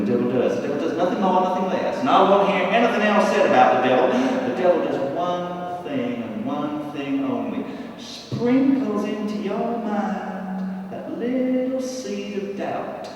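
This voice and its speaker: A man playing the devil's messenger in a theatrical stage monologue, with a Texan accent and a grandiose, sly, emphatic preacher's delivery. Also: on the high side. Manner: grandiose, emphatic, drawn-out repetitions for effect. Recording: echoey hall from far off, faint noise.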